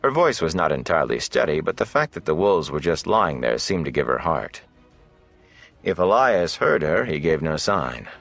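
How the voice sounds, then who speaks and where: A man narrates a fantasy audiobook in a very low voice with a gravelly creak. His scripted reading moves with lively, varied intonation. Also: moderate pace, dry recording, faint music behind.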